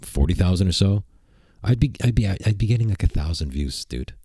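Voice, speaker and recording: Very deep-voiced man streaming, crude jokes. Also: lively intonation, fairly quick, mellow, breathy edge, no room sound, clean studio sound. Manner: crude, provocative joking, rambling. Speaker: streamer and channel host. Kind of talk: casual livestream chat. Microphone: desk mic at a computer.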